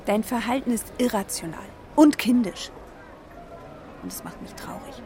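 An actress playing a sober, pragmatic middle-aged wife in a naturalistic radio drama, giving terse, matter-of-fact replies. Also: female voice, on the high side, lively intonation, moderate pace, mellow, natural voice. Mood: exasperated. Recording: urban traffic ambience.

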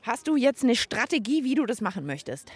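A woman, hosting a children's radio show with lively reporting and chat. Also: fairly high pitch, fairly quick.

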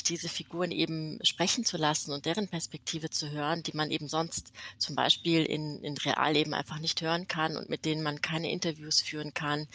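A woman's voice: sits low, pushed and bright. A woman author giving a reflective interview answer in searching, winding sentences.

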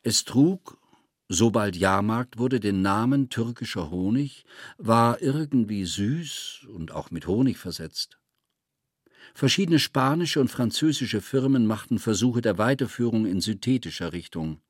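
A man with a fairly low voice reads literary prose as a narrator. His delivery is measured and precise, and the sound is close and dry. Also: animated, no room sound.

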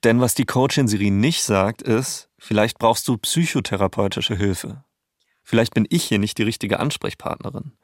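A man's voice: lowish voice, normal speed, dry recording, clean studio sound. A man co-hosting a narrative podcast, reading scripted storytelling in a conversational, explanatory tone.

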